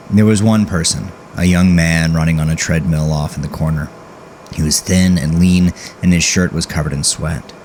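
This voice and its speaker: A man narrating a scripted dark horror story in a grave, measured, dramatic way, drawing out his words. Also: a bass voice, a little hiss or hum.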